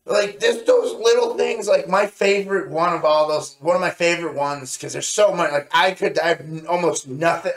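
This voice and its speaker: Higher-voiced man in an echoey room. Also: fairly bright tone. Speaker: podcast co-host reviewing anime. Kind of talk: casual review chat. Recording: roomy, echoey voice recording.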